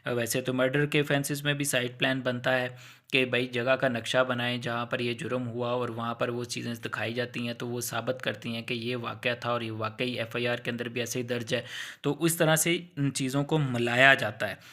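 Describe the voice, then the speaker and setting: A man's voice: medium pitch, flat delivery, normal speed, pushed and bright. A man with a Pakistani accent giving an informal explanatory law lecture, going step by step with examples and mixing in English legal terms.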